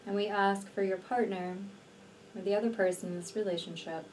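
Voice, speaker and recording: A woman leading a guided healing meditation in a slow, soothing, deliberate manner with long pauses between phrases. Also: medium pitch, steady tone, darkish tone. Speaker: energy healer and meditation guide. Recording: distant mic, faint background noise.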